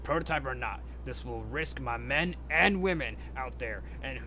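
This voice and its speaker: A man's voice: medium pitch, lively intonation, moderate pace, sharp tone, a little hiss or hum. A man voicing a stern, dismissive Air Force general in a read-aloud story, formal and commanding. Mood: skeptical.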